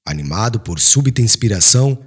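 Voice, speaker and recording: Husky, expressive male audiobook narrator. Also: on the low side, a little fast. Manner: solemn, formal. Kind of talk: dramatic scripted literary narration.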